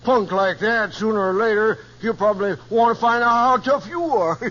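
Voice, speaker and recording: High-voiced, wry old cowhand. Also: male voice, a bit bright. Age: older. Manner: folksy, chuckling, unhurried. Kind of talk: 1950s radio western acting. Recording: muffled old radio recording.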